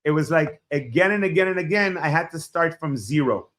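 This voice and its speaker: A man in his forties from Israel, a Hebrew speaker, telling his life story as a podcast guest in a reflective, halting way. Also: on the high side, animated, a bit bright. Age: 40s. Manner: reflective, halting starts with frequent fillers. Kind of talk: personal storytelling in an interview.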